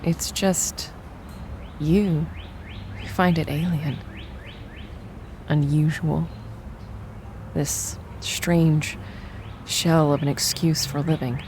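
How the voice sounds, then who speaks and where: Woman acting a slow, hollow grieving mother. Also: deep voice, steady tone, darkish tone, a little hiss or hum, heavily acted. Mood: hollow grief. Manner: measured, somber, poetic delivery. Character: grieving bereaved mother, bitter and emotionally hollow. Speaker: audio drama actor. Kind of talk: dramatic monologue.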